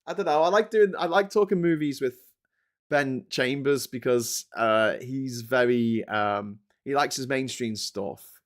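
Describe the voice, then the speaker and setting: A man in his forties with a Scouse accent, hosting a casual livestream chat from home, frank and animated, swearing freely as he talks. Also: medium pitch, measured pace. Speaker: host. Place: home room.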